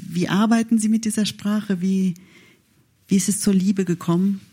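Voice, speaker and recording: A woman's voice: mid-range voice, steady tone, moderate pace, dark tone, breathy edge. A woman whose first language is English, moderating a literary discussion and putting questions to guests.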